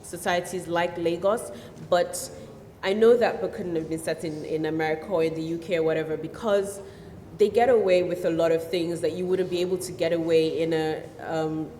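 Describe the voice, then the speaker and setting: A novelist from Nigeria, a woman whose first language is Yoruba, answering questions at a festival in an animated way with many fillers. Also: fairly low pitch, normal speed, slight background noise. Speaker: novelist guest. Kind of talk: festival stage conversation. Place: festival hall. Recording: echoey hall with long reverb.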